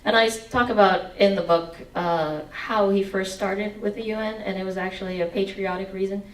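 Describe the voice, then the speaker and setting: A woman's voice: mid-range voice, moderate pace, echoey room, distant mic, low-quality recording. A woman in her forties reading aloud from her memoir with a headset mic, then answering audience questions. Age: 40s. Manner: expressive reading, sings snatches of song. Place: indoor meeting room.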